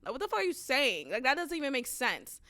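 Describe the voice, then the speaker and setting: A young woman hosting a podcast, telling a story with an animated, emphatic delivery and frequent swearing. Her voice sits a little high and sounds bright and forceful. The recording is dry and close. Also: quick pace, no echo. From United States. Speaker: podcast host. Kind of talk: casual storytelling.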